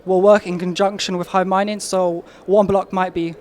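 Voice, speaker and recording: Man, young adult, high-pitched, insistent, casual interview. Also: flat delivery, normal speed, darkish tone. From Albania, raised in London. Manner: eager, insistent, emphatic claims. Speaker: marketing lead, interviewee.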